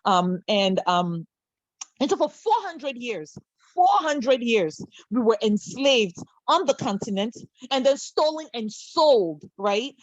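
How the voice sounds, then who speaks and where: Woman from Cameroon, panel speaker on a video call; higher voice, passionate, lots of ums and rhetorical questions, lo-fi dry sound. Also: sing-song, slow, no room sound. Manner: impassioned, many fillers and restarts, rhetorical questions.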